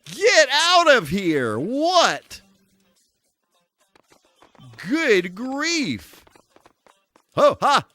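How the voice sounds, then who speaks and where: A man's voice: very high-pitched, huge pitch swings, drawn-out words, long pauses, bright, forceful tone, dry recording. A man giving casual live commentary while playing a video game, full of mild folksy exclamations and mock-exasperated asides. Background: music.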